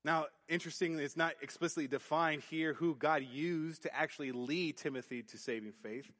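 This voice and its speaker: A youth pastor preaching a sermon in church, booming then dropping to quiet emphasis. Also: male voice, moderate pace. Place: church sanctuary. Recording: long hall reverb. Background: hall reverb.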